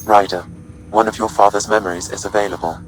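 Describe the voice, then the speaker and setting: An actor playing a calm, dutiful artificial intelligence in a video game, precise, formal and composed. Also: male voice, on the low side, fast talker, slight background noise, lightly acted, poor audio. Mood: calm, informative. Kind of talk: video game dramatic acting.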